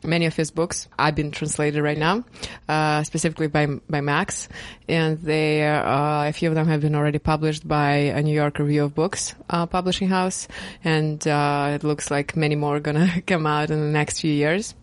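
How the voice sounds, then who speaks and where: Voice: mid-range voice, flat delivery, moderate pace, hardly any pauses, clean tone, no room sound. A podcast host, speaking hesitantly with many fillers and restarts. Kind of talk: casual podcast interview.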